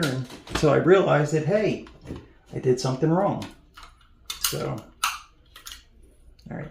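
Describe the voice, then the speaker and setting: A man's voice: on the high side, creaky. A man repairing electronics at a home workbench, thinking aloud and mumbling as he works. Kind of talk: casual workbench narration. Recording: echoey room, loud background noise.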